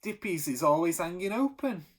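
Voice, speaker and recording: Guy reading as a picky street girl, raised higher voice, blunt and unimpressed. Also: expressive, moderate pace. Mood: critical.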